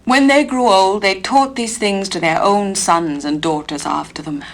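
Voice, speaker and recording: English actress, storybook narrator, gentle measured reading. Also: female voice, medium pitch. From England. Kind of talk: storybook reading on a spoken-word LP.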